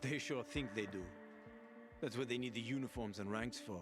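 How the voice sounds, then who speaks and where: A man's voice: medium pitch, moderate pace, natural voice. An actor voicing a roguish, boastful man with a wry, casual manner that includes swearing, in video game dialogue. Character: roguish older male schemer, boastful. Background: music.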